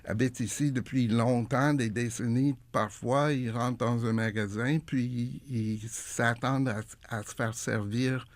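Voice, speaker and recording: Gravelly male interview guest, firm opinions, stammers on restarts, dry mic. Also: mid-range voice, steady tone, unhurried, few pauses, dry recording. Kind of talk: interview answers.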